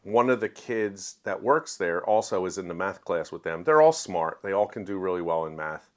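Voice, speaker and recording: Male book reviewer, somewhat low register, chatty and opinionated, emphatic delivery, plain everyday talk. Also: expressive, normal speed. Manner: conversational, acts out quoted dialogue in everyday voice. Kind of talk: casual opinionated review to viewers.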